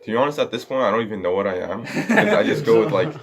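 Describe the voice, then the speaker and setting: A man's voice: fairly low pitch, lively intonation, racing, a crowd in the background. A young man of mixed Sudanese, Egyptian and Moroccan roots, a podcast guest talking casually and hesitantly. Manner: hesitant, many likes. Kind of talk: casual chat.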